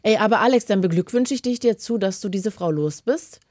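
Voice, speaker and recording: Woman radio host, quick chatty delivery, dry close studio sound. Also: medium pitch, animated, dry recording. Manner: chatty, warm-hearted advice, fast talking. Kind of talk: casual radio phone-in chat.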